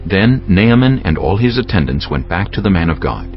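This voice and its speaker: A man narrating a dramatized scripture audiobook in a solemn, measured storytelling manner. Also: low-pitched, very animated. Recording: dull lo-fi sound, faint music bed.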